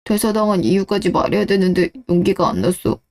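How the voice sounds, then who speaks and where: Woman playing a pleading husband, soft dark tone. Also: mid-range voice, fairly quick, close to an everyday voice. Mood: evasive, ashamed. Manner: pleading, self-justifying. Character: pleading, defensive disgraced husband, evasive and desperate. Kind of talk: radio-drama story dialogue.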